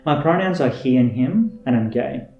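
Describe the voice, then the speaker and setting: A man's voice: mid-range voice, lively intonation, normal speed, roomy sound, dull audio, soft background music. A man in his thirties from India, a native Tamil speaker, earnestly reading a scripted self-introduction as podcast host.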